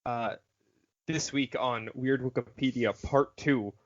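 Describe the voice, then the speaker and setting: Male podcast co-host, slow delivery, sweary, exasperated, casual banter and read-outs, lo-fi sound. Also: mid-range voice, fairly even intonation. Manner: profane, exasperated. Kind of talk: casual podcast banter, reading encyclopedia entries aloud.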